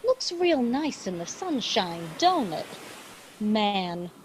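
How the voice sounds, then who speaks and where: A woman's voice: mid-range voice, sing-song, takes it slowly, noisy background. An actress playing a plain-spoken, practical radio woman with a folksy, take-charge manner in a radio drama. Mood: casual.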